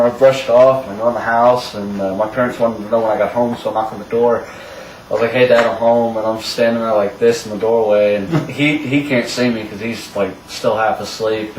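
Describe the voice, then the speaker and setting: A young adult man telling rambling, jokey stories casually among friends. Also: mid-range voice. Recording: echoey, noisy room, far from mic.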